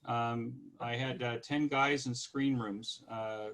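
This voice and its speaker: A man in his sixties from California with an American accent, giving an informal, halting, conversational online presentation. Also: medium pitch, fairly even intonation, fairly bright tone, rough recording. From California, United States. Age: 60s. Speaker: guest presenter.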